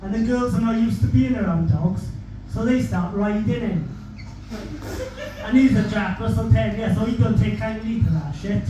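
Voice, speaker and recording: A young man with a Welsh accent performs stand-up, telling stories in a deadpan manner and swearing freely. His voice sits fairly high for a man. The sound is distant and booms around a big echoey hall, with noise from the room underneath. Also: moderate pace. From Wales. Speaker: stand-up comedian. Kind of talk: stand-up comedy set. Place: theatre stage with audience.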